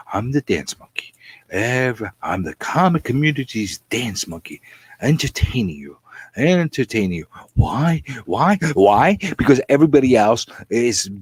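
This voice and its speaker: A man with a husky voice rants comedically in a very dry, close recording. His pitch leaps around theatrically as he repeats and stammers over phrases, often sinking into a breathy whisper. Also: no room sound. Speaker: host of a comic book video channel. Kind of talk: comedic rambling rant to viewers.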